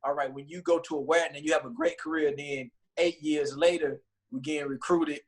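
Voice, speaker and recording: A man from hosting a casual interview, talking in a halting, loose way full of "like" and restarts. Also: a little high, fairly even intonation, breathy edge. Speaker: host, coach.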